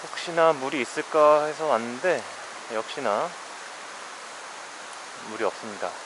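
A man's voice: mid-range voice, expressive, a little slow. A man fly fishing alone on a mountain stream, talking to himself in a casual, wry, weary way as he films with a head-mounted camera. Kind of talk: casual first-person fishing narration. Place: outdoors by a shallow mountain stream. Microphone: head-mounted action camera. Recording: outdoor stream noise behind.

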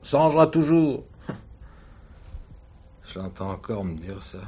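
An actor playing a wounded, weary husband suspected of murder, halting and defensive, in a French radio crime drama. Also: male voice, on the low side, lively intonation, dark tone, breathy edge. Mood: bitter, hurt. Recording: noisy old radio drama recording.

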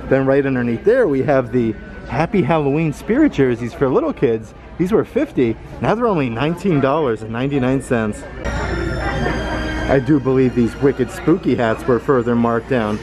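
A man's voice: medium pitch, animated, normal speed, over music. Upbeat man touring a store. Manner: upbeat, reads out prices, frequent "guys" and "look at that". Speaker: vlogger. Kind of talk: casual shopping vlog walkthrough. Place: indoor retail store.

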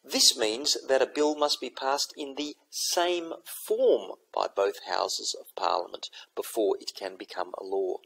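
A man giving a recorded lesson, explaining in a measured, methodical way. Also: mid-range voice, gravelly, a bit bright, slight background noise, poor audio. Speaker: teacher. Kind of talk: recorded lecture over slides.

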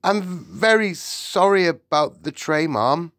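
A woman's voice: on the low side, expressive, takes it slowly, no room sound, clean studio sound, voice pushed up. A woman voicing a timid, deferential palace maid in an audiobook, stammering and quivering as she speaks. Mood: shaken, stammering.

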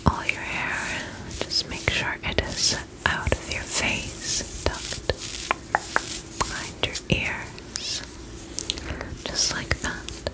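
A woman whispering breathily in a soothing, attentive ASMR roleplay as a gentle vampire spa attendant. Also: drawn-out words, low-quality recording.

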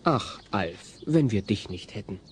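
An actor narrating a comic audio play as a wry, dramatic storyteller, reading scripted German prose. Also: male voice, fairly low pitch, animated, a little slow, slightly rough, full character voice. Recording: faint hiss, old cassette audio.

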